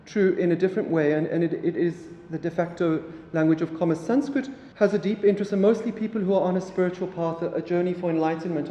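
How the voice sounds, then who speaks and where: A man's voice: high voice, slight background noise. Man lecturing in an echoey hall. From South Africa. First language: Afrikaans. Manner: relaxed, halting, with many fillers. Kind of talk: public lecture. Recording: echoey hall, mic from afar.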